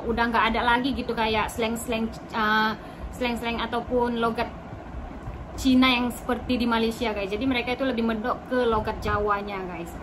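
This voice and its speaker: A woman from Indonesia chatting to her viewers in a casual explainer vlog. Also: on the high side. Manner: chatty, addresses viewers as guys. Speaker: vlogger. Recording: noisy recording.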